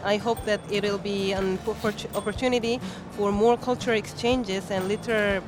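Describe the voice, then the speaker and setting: A woman's voice: medium pitch, fairly even intonation. Young Korean-accented woman guest, careful phrasing, live booth with background music. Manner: careful, measured speech with frequent hesitations. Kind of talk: casual interview at a book fair. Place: busy fair hall.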